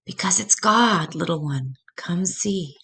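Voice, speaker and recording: A woman voicing a gentle, patient mother bear who teaches tenderly and reassuringly in a children's storybook. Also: on the low side, lively intonation, slow pace, slightly rough, heavily acted.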